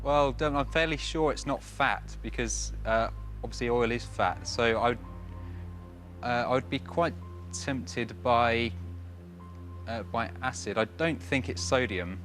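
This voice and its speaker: A twenty-year-old man, a contestant on a quiz show, hesitantly reasoning his way through a question out loud. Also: mid-range voice, measured pace, soft background music. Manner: hesitant, reasoning aloud with fillers. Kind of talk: thinking aloud on a quiz show.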